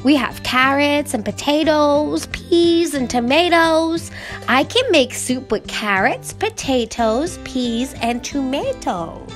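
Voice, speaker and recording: A woman voicing an excitable little boy bear cub with an eager, childlike way of exclaiming, in a storybook reading. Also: high voice, slow pace, faint music behind, voice pushed up. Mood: cheerful.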